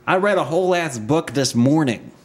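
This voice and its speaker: A man's voice: fairly high pitch, lively intonation, moderate pace. A man casually chatting as a podcast host, joking, swearing freely and laughing often.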